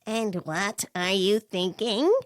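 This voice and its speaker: A woman voicing a tall, imperious male circus ringleader in an audio story, with a squeaky helium-like voice and a theatrical, smug manner. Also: steady tone, no room sound, clean studio sound, close to an everyday voice. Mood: exasperated. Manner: slow, drawn-out, theatrical, smug. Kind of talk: fan fiction audio story character voice.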